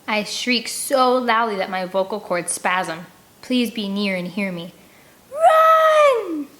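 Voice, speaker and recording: A woman's voice: medium pitch, bright, forceful tone. A woman reading a first-person story aloud expressively, as an audiobook narrator. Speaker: audiobook reader.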